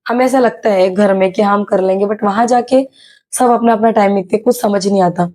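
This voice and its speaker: Young woman vlogging, bit hoarse from a sore throat, mellow tone, lots of umms, chatty. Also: medium pitch, normal speed. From Maharashtra, India. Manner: chatty, many hesitations and English words mixed in. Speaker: YouTuber sharing her exam experience. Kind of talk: casual vlog storytelling.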